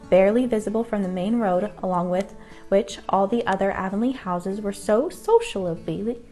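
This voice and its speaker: A woman's voice: mid-range voice, mellow. A woman narrating a children's bedtime story from a script. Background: music.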